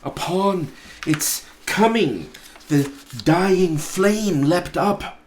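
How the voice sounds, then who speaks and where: A man narrating a storybook aloud in a deliberate, theatrical way, pausing between phrases. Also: sits high, a little mellow. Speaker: pastor reading a story aloud.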